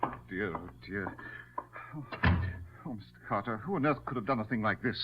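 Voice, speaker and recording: A man's voice: lowish voice, lively intonation, moderate pace, noisy background, lightly acted. Man playing a fussy, flustered politician, swooping voice. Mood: flustered, indignant. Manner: stammering, flustered, prim. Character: fussy, anxious, respectable male political candidate. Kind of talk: 1940s radio crime drama acting. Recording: muffled old radio broadcast.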